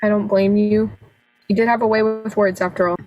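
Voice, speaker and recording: A woman's voice: medium pitch, fairly even intonation, fairly quick, a little mellow, dry recording, muffled recording, close to an everyday voice. A woman acting in a radio play as a weary, forgiving, bereaved woman. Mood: weary, consoling.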